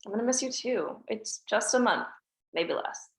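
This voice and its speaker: A woman's voice: a little high, lively intonation, moderate pace, vocal fry. A woman at a script table read playing a guarded, pessimistic teenage girl who speaks in a subdued way. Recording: roomy echo.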